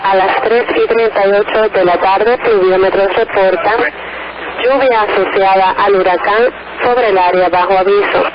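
A man's voice: high-pitched, steady tone, moderate pace, a loud crowd. A man formally reading a scripted emergency weather bulletin as a radio announcer. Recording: muffled, noisy weather radio broadcast.

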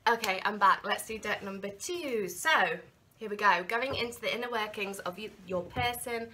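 A woman's voice: medium pitch, moderate pace, a little hiss or hum. A woman in her thirties giving a chatty tarot reading to camera in a small candlelit room, battling a cold. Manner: chatty, animated hand gestures, slips into quoted inner voices. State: has a cold. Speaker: tarot reader. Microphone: camera in front at desk distance.

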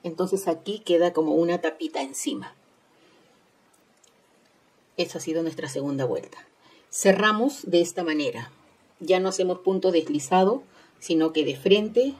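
A woman's voice: fairly low pitch, animated, unhurried, long pauses, a little mellow, breathy edge. A woman hosting an informal step-by-step craft tutorial, instructing with repeated phrases and tag questions. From Peru. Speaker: host of a crochet tutorial channel.

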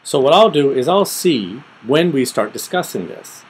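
A middle-aged man giving an informal, step-by-step screen tutorial, thinking aloud methodically with pauses. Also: medium pitch, very animated, husky, poor audio. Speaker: tutorial presenter. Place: small room at home, indoors.

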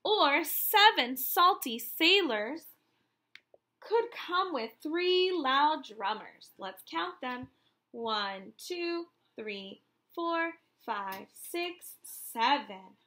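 Female primary teacher for a children's read-aloud: bright, high voice, encouraging and sing-song. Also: lively intonation, drawn-out words.

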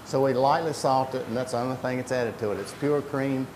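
A man's voice: mid-range voice, steady tone, brisk. Middle-aged man in an echoey, noisy room. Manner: plain-spoken, step-by-step. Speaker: creamery worker explaining production. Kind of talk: explanatory interview answers. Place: indoor creamery processing room with steel tanks. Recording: echoey room, loud equipment noise.

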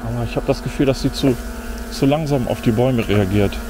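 Man, middle-aged, casual self-talk, mid-range voice, outdoor recording with clear insect noise. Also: mid-range voice, brisk. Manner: muttering, self-talking, mildly disappointed remarks. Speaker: drone vlogger. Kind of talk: casual running commentary while testing a drone. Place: outdoors in a forest. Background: insects buzzing and faint outdoor ambience.

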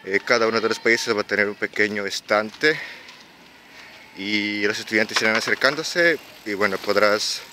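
A young man in his twenties with an Ecuadorian Quito accent, vlogging casually while walking outdoors on quiet residential streets, talking hesitantly with many fillers and restarts. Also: fairly low pitch, normal speed, slight background noise. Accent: Ecuadorian Spanish (Quito). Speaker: exchange student vlogger. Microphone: handheld camera while walking.

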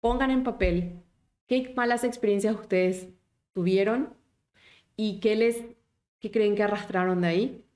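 A woman, a German teacher in a live online class who talks with lively encouragement and asks her viewers many questions. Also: medium pitch, measured pace. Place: small home office indoors.

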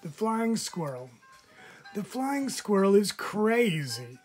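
A man, a teacher, reading children's animal poems aloud in a measured, expressive storytelling manner. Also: sits high, soft background music.

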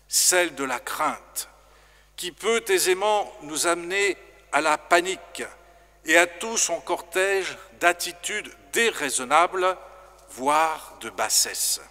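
A middle-aged man preaching a formal sermon as a priest, slow and measured, into a stand microphone in a large stone church. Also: high voice, animated, sharp tone. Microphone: stand microphone in front. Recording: long church reverb.

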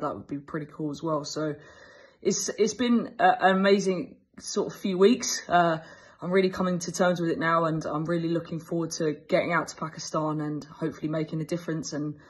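Woman, 30s, English accent, husky, enthusiastic, fillers and restarts, video call earbuds. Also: low-pitched. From England. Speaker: fast bowling coach. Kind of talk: casual interview answers. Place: indoors, small room.

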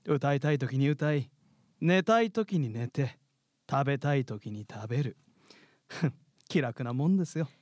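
A man's voice: mid-range voice, lively intonation, unhurried, pauses often, a little mellow, dry recording, clean studio sound, close to an everyday voice. A man playing a cocky, carefree, teasing katydid in a theatrical radio drama style. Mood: smug, teasing. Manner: theatrical, mock-polite turning taunting. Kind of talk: live radio drama acting. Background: music.

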